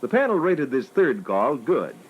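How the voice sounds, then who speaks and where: A man's voice: mid-range voice, big pitch swings, a little slow, husky, highs cut off. A man moderating a sales training record with scripted instructional narration. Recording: faintly noisy old reel-to-reel training record.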